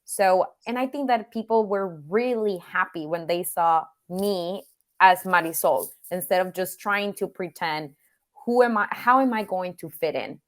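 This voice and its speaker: A woman's voice: lowish voice, a little slow. A woman from Mexico whose first language is Spanish, speaking English with a Mexican accent as an interview guest, earnest and reflective with frequent "you know" fillers. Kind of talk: virtual Q&A interview.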